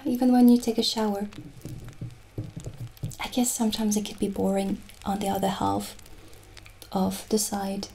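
A woman plays a dreamy witch shopkeeper in an ASMR roleplay. Her voice is mellow and touched with fry, and she muses gently, leaving pauses between thoughts. There is noticeable noise behind her. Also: mid-range voice. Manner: slow, musing, soothing, with pauses.

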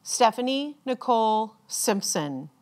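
Very slow-paced woman announcing names formally. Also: fairly high pitch, very animated, a little mellow. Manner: measured, deliberate enunciation with pauses. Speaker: commencement announcer reading graduates' names. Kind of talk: formal ceremonial reading of names.

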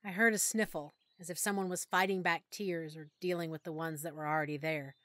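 A woman narrating a first-person audiobook in a soft, emotional storytelling manner. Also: low-pitched, fairly even intonation, a little fast, dry recording, clean studio sound.

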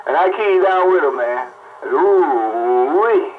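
A man's voice: a little high, animated, slow. A man bantering casually over CB radio, repeating phrases for emphasis, heard through the radio's speaker. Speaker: CB radio operator. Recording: muffled CB radio with faint noise. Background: faint radio noise.

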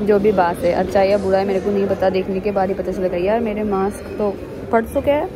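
A woman's voice: mid-range voice, steady tone, a little fast, background noise. A young woman chatting casually as a travel vlogger, thinking aloud into a phone mic. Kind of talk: casual travel vlog narration. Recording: loud background music.

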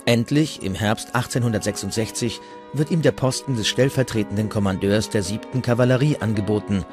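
A man's voice: on the low side, a little fast, darkish tone. A man narrating a historical documentary from a script. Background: music.

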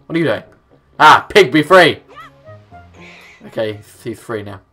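A man's voice: medium pitch, animated, measured pace, music in the background. A young man hosting a gaming video, giving casual, joking commentary indoors on a headset. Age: young adult. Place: small indoor room.

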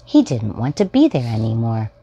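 Very low-voiced, expressive woman reading to children. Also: moderate pace, dull audio, a little hiss or hum. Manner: expressive, addressing young children. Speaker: storytime host reading children's books aloud. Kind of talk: children's read-aloud storytelling. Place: small furnished room at home, indoors.

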